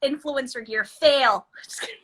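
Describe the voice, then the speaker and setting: A woman's voice: sits high, normal speed, fairly bright tone, a little hiss or hum. A woman in her thirties from the Philippines, a beauty business owner chatting as a guest in an interview, laughing often and restarting her sentences. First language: Filipino. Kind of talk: casual interview chat.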